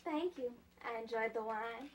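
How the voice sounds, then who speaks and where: A woman's voice: a little high, measured pace, slight background noise, lightly acted. A woman playing a young, polite, somewhat hesitant dance-hall girl in a drama. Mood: polite, grateful. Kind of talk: dramatic dialogue in an audio play or table reading.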